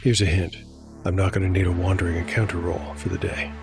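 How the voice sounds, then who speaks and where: Grave-voiced man narrating dark fantasy. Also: deep voice, little pitch movement, gravelly. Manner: measured, grave storytelling. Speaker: host and solo game master. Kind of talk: dramatic fantasy narration with dice commentary. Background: music.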